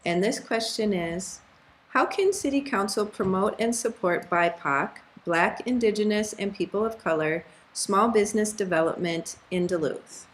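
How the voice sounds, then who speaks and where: A woman's voice: medium pitch, normal speed. A woman formally moderating a forum, reading out questions and handing over to speakers. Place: indoor TV studio.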